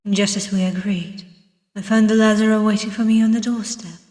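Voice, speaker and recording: A woman voicing a reserved, weary detective who narrates a visual novel in a measured, dramatic way. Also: medium pitch, fairly even intonation, darkish tone, natural voice. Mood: thoughtful. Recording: distant mic, echoey long reverb.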